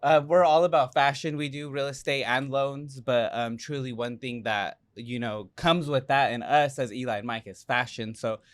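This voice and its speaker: A man's voice: medium pitch, fairly even intonation, normal speed, few pauses, no echo. A man co-hosting a podcast, effusive and giggly, with many fillers. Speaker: podcast co-host. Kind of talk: enthusiastic casual interview chat.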